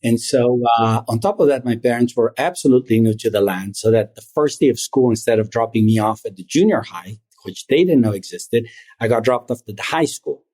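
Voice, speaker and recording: A middle-aged man born in Argentina, a private equity founder telling his story in a measured, reflective way as an interview guest. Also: mid-range voice, darkish tone, husky. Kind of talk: interview conversation.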